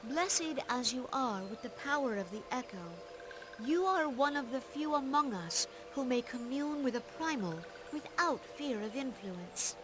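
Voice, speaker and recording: A woman playing a gentle, solemn spiritual leader in a fantasy game, speaking in stately, measured, archaic formal phrases. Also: fairly high pitch, background noise. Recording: orchestral music behind the voice.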